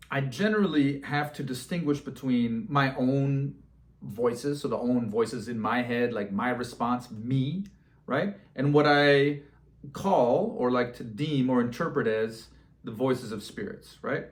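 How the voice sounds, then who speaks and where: A man telling a story in a casual, slangy, animated way, acting out bits of dialogue as he goes. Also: medium pitch, a little slow. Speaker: storyteller and host.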